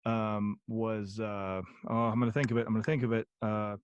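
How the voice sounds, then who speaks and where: A man's voice: fairly low pitch, level tone, normal speed, highs cut off, a little hiss or hum. A young man chatting as a podcast guest, rambling with many ums and restarts. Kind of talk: casual interview chat.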